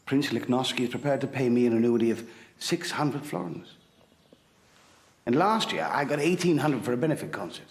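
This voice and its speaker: An actor playing a hot-blooded, idealistic composer in a period drama, blunt and impassioned, sometimes sardonic or tender. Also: male voice, mid-range voice, expressive, a little fast, natural voice. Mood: assured. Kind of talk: period television drama acting.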